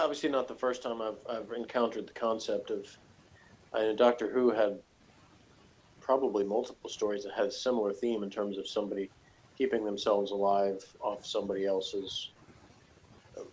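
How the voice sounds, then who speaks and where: A man's voice: lowish voice, steady tone, normal speed, long pauses, rough recording. A man giving rambling, loosely phrased commentary in a casual review discussion. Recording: faint background noise.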